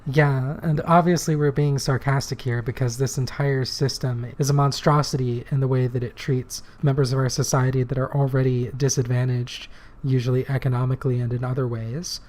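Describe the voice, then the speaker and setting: A man co-hosting a political podcast, talking earnestly and plainly, his voice slightly hoarse from shouting. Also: medium pitch. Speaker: podcast co-host. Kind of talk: casual political podcast talk.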